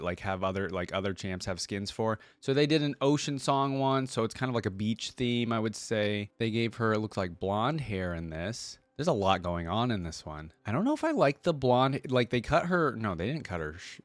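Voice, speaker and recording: A man's voice: fairly low pitch, no room sound. A man hosting a reaction video in a casual, informal way, thinking aloud and speaking to his viewers. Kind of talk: casual reaction commentary, some reading aloud.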